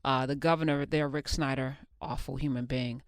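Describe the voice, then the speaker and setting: A woman's voice: a bass voice, steady tone, no room sound. A woman hosting a radio talk show, blunt and emphatic, often cutting in. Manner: blunt, emphatic, quick to jump in. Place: radio studio. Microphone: studio microphone.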